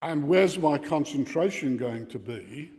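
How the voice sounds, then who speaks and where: A man's voice: on the high side, animated, a little slow, darkish tone. Older man lecturing in a reverberant hall. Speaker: presenter giving a talk on radio contesting. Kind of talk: informal lecture with explanations.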